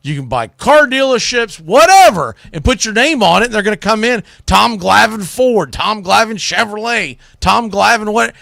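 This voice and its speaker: A man with a high, very lively voice delivers sports commentary. His tone is bright and forceful, and he talks in a blunt, folksy, exasperated way, like a radio host on a rant. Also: moderate pace, gravelly, hardly any pauses, dry recording, studio quality. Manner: exasperated ranting, blunt, mildly salty, folksy.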